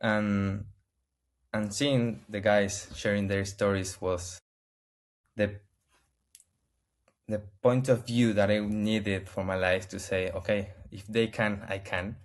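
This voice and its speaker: A man from Argentina with an Argentine Spanish accent, a native Spanish speaker, answering interview questions in halting English full of restarts and searches for words over a video call. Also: on the low side, little pitch movement. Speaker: interview guest.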